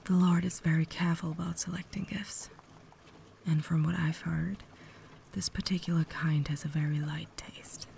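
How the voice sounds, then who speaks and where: Woman playing a whispering, low, creaky vampire lady. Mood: hospitable, soft. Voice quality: soft, breathy whisper. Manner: refined, formal, gentle phrasing. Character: cultured, caring vampire lady, secretly holding back. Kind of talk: ASMR roleplay, whispered and intimate. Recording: noticeable background ambience noise.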